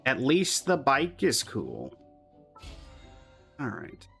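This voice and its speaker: A man's voice: mid-range voice, huge pitch swings, very slow, vocal fry, fairly bright tone, faint music behind. A man giving casual, chatty commentary on a game livestream, talking to his chat and humming little filler syllables.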